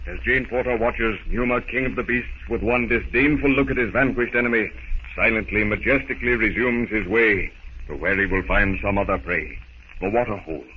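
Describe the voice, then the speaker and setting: A man's voice: fairly low pitch, brisk. A man narrating a 1930s radio adventure serial in an intense, theatrical style. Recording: dull, very noisy 1930s radio recording.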